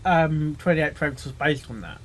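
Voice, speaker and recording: A man's voice: on the high side, moderate pace. A man giving casual reaction commentary, muttering short asides and repeating phrases. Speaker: reaction video host.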